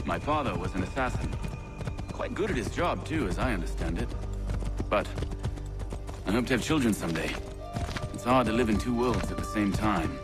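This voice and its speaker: A man's voice: lowish voice, animated, normal speed, long pauses, over loud music, natural voice. A man playing a confident, friendly young revolutionary ally in a video game, speaking in an assured, articulate way. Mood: reflective.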